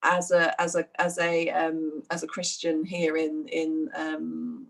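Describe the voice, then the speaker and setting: A woman's voice: low-pitched, monotone, slow, low-quality recording. A woman, a fitness instructor, chatting reflectively in an interview with many fillers and restarts. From United Kingdom. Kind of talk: casual interview chat.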